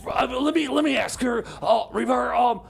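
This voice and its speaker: A man acts out a frantic radio-comedy sidekick in an extremely high, gravelly voice. He stammers, whines and repeats himself, excitable and thoroughly exasperated. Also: normal speed, exaggerated voice. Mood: flustered. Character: frantic, flustered, exasperated sidekick hunting secret coordinates. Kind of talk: improvised comedy radio theater.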